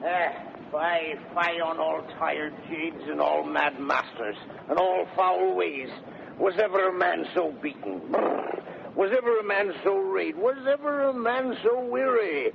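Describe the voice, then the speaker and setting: An actor playing a half-frozen, bossy, complaining servant in 1930s radio Shakespeare comedy. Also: male voice, high voice, a little slow, creaky, full character voice. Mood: shivering, complaining. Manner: exclamatory, complaining, stuttering with cold. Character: grumbling, half-frozen, bossy male servant back from a journey. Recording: scratchy, noisy, narrow-band 1930s radio recording.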